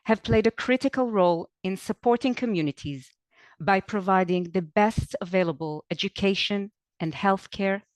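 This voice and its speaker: A woman's voice: medium pitch, dry recording. A woman host reads her introduction in a formal, scripted way, with a voice in the middle range. The sound is dry and close.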